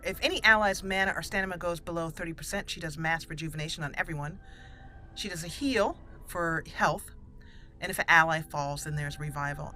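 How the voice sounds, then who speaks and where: Female gaming host, bright and slightly low-pitched, chatty, playful casual commentary. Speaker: let's play host. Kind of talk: casual gameplay commentary with some roleplay.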